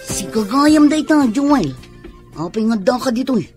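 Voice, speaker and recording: A woman's voice: on the high side, animated, normal speed, darkish tone, soft background music, natural voice. An actress playing a friendly mother in a radio drama, sociable and welcoming, with an Ilocano accent. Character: Marjo, a warm mother hosting a birthday.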